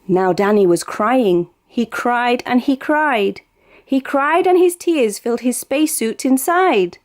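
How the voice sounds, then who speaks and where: British woman reading aloud, lively swooping pitch. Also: slow pace. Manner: expressive, sing-song storytelling. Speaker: storybook reader. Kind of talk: read-aloud of a rhyming children's picture book.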